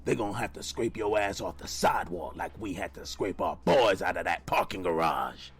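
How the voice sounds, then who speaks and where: A man's voice: sits low, expressive, moderate pace, breathy edge, full character voice. An actor playing a swaggering, threatening male street gangster in a video game. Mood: angry menace. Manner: menacing, swaggering. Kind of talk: game character voice acting.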